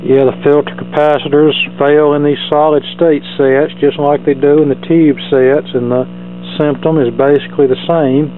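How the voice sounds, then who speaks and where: Man, higher-pitched, casual demonstrator. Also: level tone, moderate pace, narrow, tinny audio, noisy background. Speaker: radio repair hobbyist presenting. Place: indoor cluttered workshop bench.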